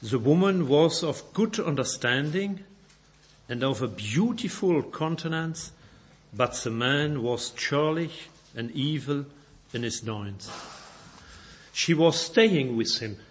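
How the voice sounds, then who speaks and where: A man's voice: a little high, lively intonation. Belgian man preaching in careful English. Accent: Belgian German. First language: German. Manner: halting non-native English, repeats and self-corrects words. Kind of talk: sermon with scripture reading.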